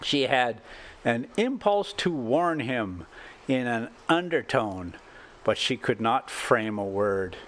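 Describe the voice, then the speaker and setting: An older man reading a classic short story aloud as an amateur storyteller, in halting, broken phrases. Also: mid-range voice, animated, a bit bright. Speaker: storyteller and channel host.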